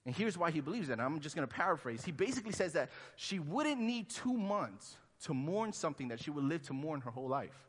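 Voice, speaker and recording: A pastor originally from Honduras preaches in a loose, conversational style and slips into the voices of the people he quotes. His voice sits a little high for a man and swings widely in pitch. A long hall reverb trails after his words. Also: big pitch swings, a little fast, hardly any pauses, a bit bright. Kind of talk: sermon.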